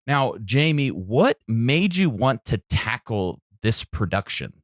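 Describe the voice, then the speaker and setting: A man co-hosting a podcast, speaking casually with earnest, deliberate phrasing. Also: medium pitch, very animated, dry recording, clean studio sound. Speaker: podcast co-host.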